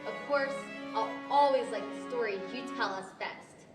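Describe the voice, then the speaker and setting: Woman playing a high-voiced, polite storybook girl, echoey. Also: moderate pace, music in the background, close to an everyday voice. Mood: grateful, bright. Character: Alice, polite, earnest storybook girl on adventures. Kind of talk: 1940s radio-style stage acting. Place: large library event room, standing microphones. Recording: echoey hall, distant microphone.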